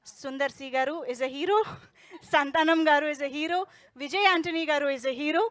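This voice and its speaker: A woman's voice: high voice, measured pace, a bit bright, no echo. A woman hosting a film promotion, interviewing guests in a conversational style.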